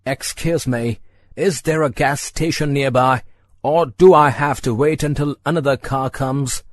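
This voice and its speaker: A woman's voice: very deep voice, a little slow, no room sound, studio quality, voice pushed down. A woman reading a story voices a courteous, eager foreign businessman who keeps pressing his offer. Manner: courteous, coaxing.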